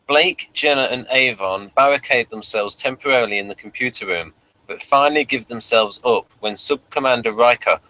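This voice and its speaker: A man hosting a casual review show, talking in a halting, rambling way and reading summaries aloud. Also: medium pitch, pushed and bright, poor audio. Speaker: podcast host.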